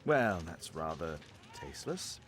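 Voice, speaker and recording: An actor playing a shrewd, self-assured Victorian detective in a video game, British accent, precise, probing and dryly superior. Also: male voice, low-pitched, big pitch swings, unhurried, background noise. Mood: musing. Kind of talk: dramatic video game acting.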